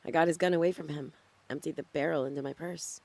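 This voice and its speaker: Creaky-voiced young femme fatale. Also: female voice, deep voice, steady tone, quick pace, darkish tone. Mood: bitter. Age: young adult. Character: troubled, secretive young femme fatale with a dark past. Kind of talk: audio drama acting, noir thriller.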